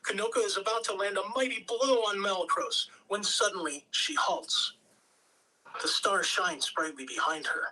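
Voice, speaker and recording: Bright forceful voice, measured script narration, table-read reader, off-mic, faint noise. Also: mid-range voice, far from the mic, rough recording. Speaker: reader of stage directions.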